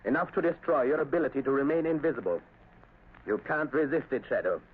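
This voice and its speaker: An actor playing a scheming saboteur doctor, smooth and deliberate, in a vintage radio drama. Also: male voice, medium pitch, lightly acted. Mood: gloating. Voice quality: smooth, deliberate villain delivery. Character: scheming male saboteur doctor, suave and menacing. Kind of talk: 1930s radio drama acting. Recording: tinny old radio recording, hiss.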